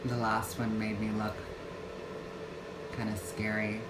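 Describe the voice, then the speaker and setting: Woman, 50s, slow unhurried livestream chat, hesitant with restarts; echoey room, loud AC hum. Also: rumbling low, monotone. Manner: halting, many fillers and restarts. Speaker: vlogger. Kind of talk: casual livestream talk. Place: home yoga room. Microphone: phone or webcam livestream.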